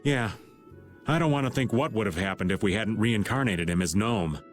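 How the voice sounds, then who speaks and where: An actor playing a wry, laid-back older rogue with a sardonic drawl in a video game cutscene. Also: male voice, fairly low pitch, moderate pace, faint music behind, close to an everyday voice.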